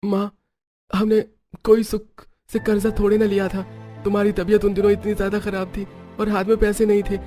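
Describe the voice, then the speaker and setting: A man's voice: sits high, monotone, a little fast, warm tone, natural voice. A man playing a poor, indebted village husband in a cartoon story, meek, grumbling and plaintive. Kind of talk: Hindi cartoon story acting. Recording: music behind the voice.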